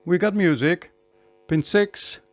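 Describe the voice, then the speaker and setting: A man giving a hands-on electronics repair tutorial in a measured, step-by-step way, often repeating short phrases. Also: high-pitched, faint music behind.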